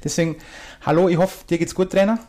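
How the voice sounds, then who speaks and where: A man's voice: high-pitched, steady tone, fast talker, a little mellow. A man with a southern German accent hosting a coaching podcast, chatty and friendly with joking asides. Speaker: podcast host, ice hockey coach educator. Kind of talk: casual interview hosting.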